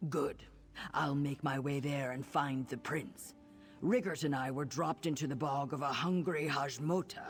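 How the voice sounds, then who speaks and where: A man's voice: mid-range voice, fairly even intonation, a little slow, soft background music, lightly acted. An actor voicing a suave male cat-folk adventurer and spy in a fantasy video game, wry and self-assured. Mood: wry, composed.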